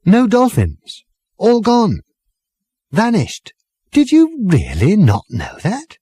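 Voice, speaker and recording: A man's voice: sits high, wildly swooping pitch, takes it slowly, dark tone, husky, no room sound, studio quality, pitched above the performer's own voice, heavily acted. A man voicing an earnest, troubled young woman who explains things with urgent emphasis. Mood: exasperated, earnest.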